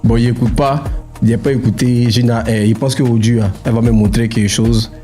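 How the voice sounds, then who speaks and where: A man's voice: medium pitch, steady tone, brisk, dark tone. A man, co-hosting a radio show in loose, joking banter. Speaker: radio co-host. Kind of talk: casual banter.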